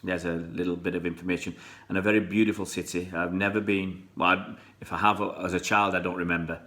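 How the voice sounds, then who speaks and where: Deep-voiced man chatting on a live stream. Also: flat delivery, quick pace, sharp tone. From born in Pakistan, raised in England. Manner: chatty, greets viewers by name, rambling. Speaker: fan channel live streamer. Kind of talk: casual live-stream chat reading comments.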